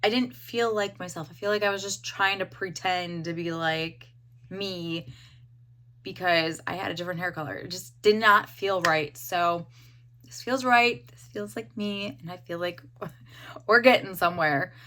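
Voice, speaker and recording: A young woman with a hoarse voice, casually reviewing a hair dye and rambling with lots of fillers. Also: on the low side, unhurried, fairly bright tone. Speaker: beauty vlogger.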